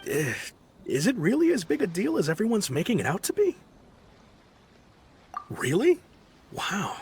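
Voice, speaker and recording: A laid-back, laughing young sailor. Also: male voice, high-pitched, a little slow, a little hiss or hum, exaggerated voice. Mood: friendly. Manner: friendly, laughing, relaxed. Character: easygoing young male sailor of a fleet. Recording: game audio with music behind. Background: game music.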